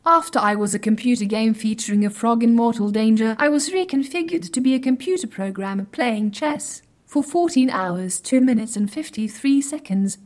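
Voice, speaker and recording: A woman as a high-pitched, pedantic artificial intelligence. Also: normal speed, few pauses, close to an everyday voice. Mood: pedantic, deadpan. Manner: stilted, precise, deadpan, over-formal. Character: literal-minded, pedantic, smug artificial superintelligence. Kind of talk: scripted audio comedy drama.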